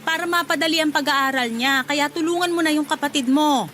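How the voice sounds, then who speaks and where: A woman playing a demanding, wheedling middle-aged mother in a radio drama, with a nagging, expressive delivery. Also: sits high, fairly quick, faint music behind. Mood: coaxing.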